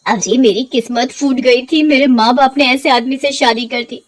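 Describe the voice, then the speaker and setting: A haughty cartoon village wife, played by a woman, high in pitch. She is greedy and nags in a demanding, scolding way. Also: moderate pace. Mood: bitter complaining.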